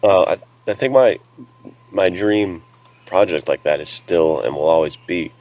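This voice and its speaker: A man's voice: low voice, unhurried, darkish tone. A man co-hosting a podcast, talking in an informal, conversational way. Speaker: podcast co-host. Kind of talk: casual podcast chat.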